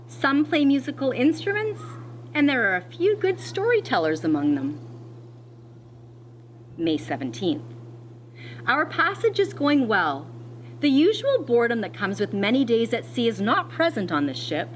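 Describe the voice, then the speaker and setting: A woman teacher reading a picture book aloud to children in an expressive, deliberate way, pausing to explain as she goes. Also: a little high, a little hiss or hum, poor audio.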